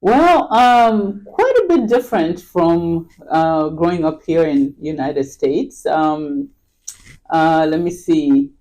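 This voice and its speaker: A middle-aged woman from Kenya, a podcast guest talking in an unhurried, casual way, with lots of fillers and restarts. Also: deep voice, animated, darkish tone, poor audio. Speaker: podcast guest, real estate agent. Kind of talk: casual interview chat.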